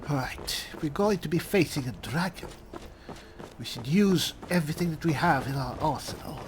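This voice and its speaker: A man voicing a wry high elf spellsword and reluctant leader in a video game roleplay, with dry, halting, deliberate delivery. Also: expressive, noisy background, lightly acted. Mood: pensive.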